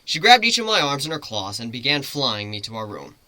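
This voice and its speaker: Male amateur narrator for fan fiction, sharp forward tone, dry recording with little room. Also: medium pitch, sing-song, brisk, no room sound. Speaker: story reader.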